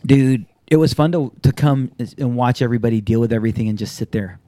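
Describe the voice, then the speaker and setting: A man's voice: mid-range voice, quick pace, no room sound. Laid-back, profane man in his thirties. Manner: loose, profane, animated storyteller acting out quotes. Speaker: podcast host. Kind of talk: casual chat.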